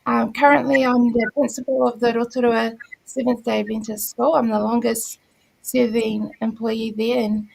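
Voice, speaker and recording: This is a middle-aged woman from New Zealand with a New Zealand accent, whose first language is Māori. Her voice sits a little high and has a strong gravelly fry. She speaks in an unhurried, explanatory way, as if on a video call. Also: level tone, rough recording. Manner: unhurried, formal greeting in Māori then warm explanation. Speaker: school principal and book circle guest. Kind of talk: casual introduction on a video call.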